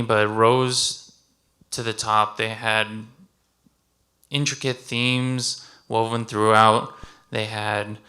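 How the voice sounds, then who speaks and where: A man's voice: medium pitch, pushed and bright. A man speaking informally as a contest judge at a live event, picking his words in a hesitant, thoughtful way.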